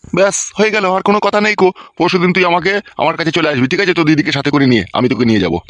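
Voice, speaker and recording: A quick, close and dry cartoon voice, acted by a man: a greedy, bossy village uncle from a comedy. He scolds and explains, pressing the listener to keep up. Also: a little high, fairly bright tone, no echo, highs cut off, close to an everyday voice. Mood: impatient, explaining. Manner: scolding, bossy, peppered with check-in questions. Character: scheming, foul-mouthed middle-aged village uncle, bossy and greedy. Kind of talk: Bengali comedy cartoon dubbing.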